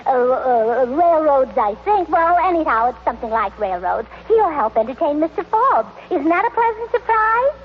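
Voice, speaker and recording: An actress playing a scatterbrained, chattering wife and hostess in old radio comedy style, breezy and muddled, on a muffled, noisy recording. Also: female voice, sits high, big pitch swings, normal speed, hardly any pauses. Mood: giddy, muddled. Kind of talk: 1940s radio comedy acting.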